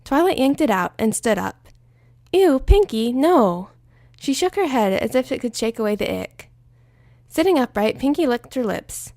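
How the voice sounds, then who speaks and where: Brief: woman reader, expressive fanfiction narration, lively and highish. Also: moderate pace, dry recording, studio quality. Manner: expressive storytelling. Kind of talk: audiobook-style story narration.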